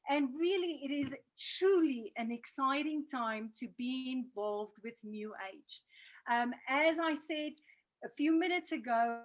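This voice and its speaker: A woman's voice: high-pitched, expressive, measured pace, low-quality recording. A South African woman hosting an online business training over a video call in an earnest, motivational manner. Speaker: training host.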